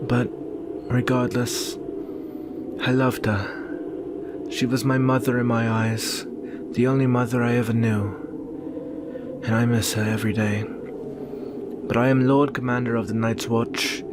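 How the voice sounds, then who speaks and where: A man playing a brooding, protective young northern warrior and devoted lover in an earnest, solemn ASMR roleplay with measured pauses. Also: medium pitch, flat delivery, close to an everyday voice. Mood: sorrowful. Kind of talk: ASMR roleplay, intimate slow dramatic monologue. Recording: very noisy ambient background.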